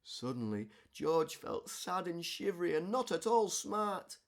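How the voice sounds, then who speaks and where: A woman reading a children's storybook aloud as an expressive narrator. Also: fairly low pitch, a little slow, vocal fry. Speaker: storybook reader.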